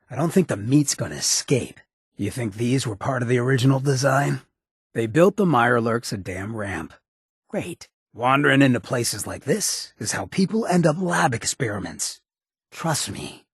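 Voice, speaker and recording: An actor playing a swaggering, irreverent outlaw drifter in a video game, with a raspy, gravelly voice and a slangy, sardonic drawl. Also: male voice, mid-range voice, fairly bright tone, no echo, studio quality, full character voice. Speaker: video game companion character. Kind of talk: scripted game dialogue, wry banter.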